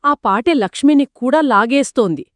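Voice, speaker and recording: A woman co-narrating a folktale for children in a conversational, expressive way, speaking directly to young listeners. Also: high-pitched, moderate pace, no echo. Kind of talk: conversational two-person storytelling for children.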